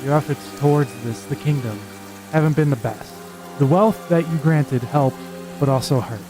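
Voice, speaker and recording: Man acting a gruff fantasy warrior over music. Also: on the high side, normal speed, natural voice. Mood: frank, grave. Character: gruff, rugged, candid warrior adventurer. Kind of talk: fantasy audio drama acting.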